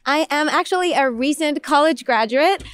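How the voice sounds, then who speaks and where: A woman's voice: high-pitched, moderate pace, a bit bright. A cheerful young woman in her twenties with a Mexican Spanish accent, a native Spanish speaker. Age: 20s.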